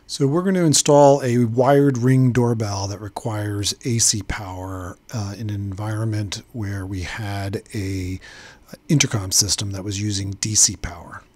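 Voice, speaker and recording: A man's voice: lowish voice, a little mellow, slightly rough. A man narrating a home how-to video, hesitant with frequent fillers, recorded on a handheld phone. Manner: halting, many fillers and restarts. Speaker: DIY home video host. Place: indoors and at a house's front door. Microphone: handheld phone camera, speaker off screen.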